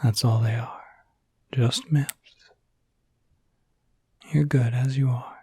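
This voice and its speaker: Slow, breathy whispering gentle werewolf caretaker played by a man. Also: mid-range voice, fairly even intonation, no room sound, clean studio sound, exaggerated voice. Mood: caring, reassuring. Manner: soothing, halting, unhurried. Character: gentle, reassuring adult male werewolf caretaker, easygoing. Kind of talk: ASMR roleplay, intimate whispering.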